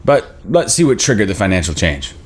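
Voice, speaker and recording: A man playing a smug, officious financial aid advisor in his thirties, talking like an unhurried bureaucrat at a script table read. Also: fairly low pitch, sing-song, breathy edge.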